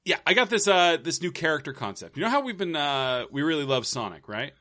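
A man doing an eager game employee pitching ideas. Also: animated, brisk, bright, forceful tone. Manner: eager, giggly, easily breaks character. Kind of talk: improvised comedy sketch.

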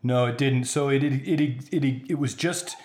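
A man in a band, being interviewed, speaking haltingly and repeating words as he searches for what to say. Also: medium pitch, a little mellow. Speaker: band member guest. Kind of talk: casual interview chat.

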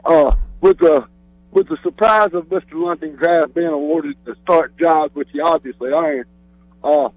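A man's voice: a little high, normal speed, darkish tone, no echo. Male caller on a dull phone line. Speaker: radio show caller. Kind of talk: casual call-in chat.

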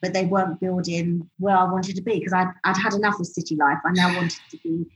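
A woman in a relaxed interview, telling stories animatedly and acting out what people said. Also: on the low side, fairly quick, a little hiss or hum. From United Kingdom. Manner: animated storyteller, acts out quoted speech. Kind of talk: casual conversational interview. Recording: slightly dull lo-fi audio.

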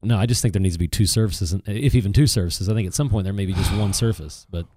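A man in his thirties co-hosting a podcast in casual chat, easygoing and quick to laugh. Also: fairly low pitch, dark tone, dry recording, clean studio sound. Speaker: podcast co-host.

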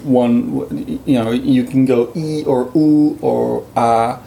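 Gravelly Dutch man explaining his research. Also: medium pitch, steady tone, slow, warm tone, slight background noise, low-quality recording. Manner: thoughtful, many fillers, demonstrates sounds. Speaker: linguistics researcher. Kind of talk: explanatory interview talk.

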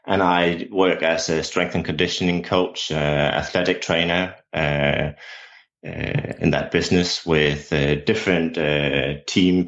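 A man's voice: extremely low pitch, fairly bright tone. A man with a Danish accent, a coach answering interview questions in English, hesitant and full of fillers and restarts. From Denmark. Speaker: jumps coach and lecturer. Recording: dull lo-fi sound.

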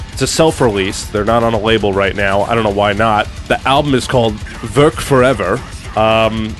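A man co-hosting a podcast, reviewing records in a casual conversational way. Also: mid-range voice. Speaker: podcast co-host. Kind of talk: casual music review chat.

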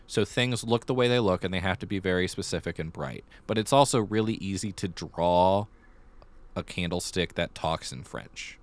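A man with a fairly low voice co-hosts a podcast. He talks casually and explains things in an easy conversational flow, like someone filling in a friend on the news. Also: moderate pace. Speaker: theater podcast co-host. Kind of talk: casual chat about musical theater news.

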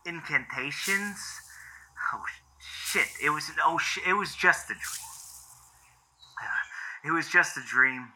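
A man's voice: a little high, slow, pauses often, sharp tone, full character voice, poor audio. A man playing an anxious, exasperated young boyfriend in a found-footage audio drama, stammering and swearing in agitation. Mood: uneasy. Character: anxious, exasperated boyfriend searching for his girlfriend.

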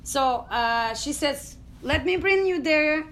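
A middle-aged woman from Ukraine with a Ukrainian accent, telling her story as an interview guest in halting English full of fillers. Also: high voice, lively intonation, fairly bright tone. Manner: halting non-native English with many fillers. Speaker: guest, dance company founder.

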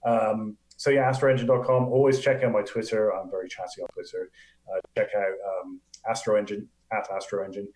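Guest: English man, low voice, keen and chatty, roomy echo. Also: level tone, fairly quick, darkish tone. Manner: enthusiastic, chatty, laughs often. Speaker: guest astrophysicist. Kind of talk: casual live Q&A chat.

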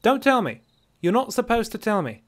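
A brash dark elf woman as a male narrator plays her, pitched well up. She is a sly fantasy bandit who taunts, bosses and threatens with sardonic confidence. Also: very animated, moderate pace. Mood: bossy, taunting.

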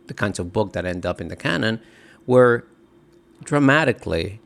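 A middle-aged man from the Dominican Republic, an author answering interview questions in a halting, thoughtful way with many restarts. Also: fairly low pitch, animated, vocal fry, a bit bright. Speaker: author and guest.

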